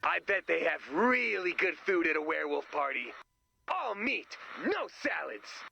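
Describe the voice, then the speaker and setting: A man's voice: a little high, very animated, unhurried, vocal fry, muffled recording, very noisy, exaggerated voice. A man playing a naive, good-natured, goofy sidekick in a video game, earnest and guileless, with a radio effect. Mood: musing. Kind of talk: video game character voice acting.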